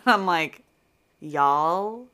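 Female podcast co-host, deep but bright voice, lively reactions and quick asides. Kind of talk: casual chat.

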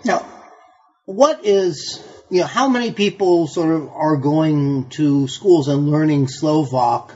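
A male interviewer puts questions to his guest, pausing and searching for words. His voice sits slightly high. The recording sounds dull and lo-fi. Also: darkish tone.

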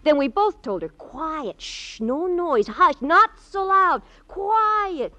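An actress playing a chatty, grudging housewife in a 1950s radio crime drama, animated, wisecracking and given to mimicry. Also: female voice, very high voice, slow pace, heavily acted. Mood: animated, indignant. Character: brassy, chatty, grudging housewife, gossipy former neighbor. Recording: dull, dry old radio recording.

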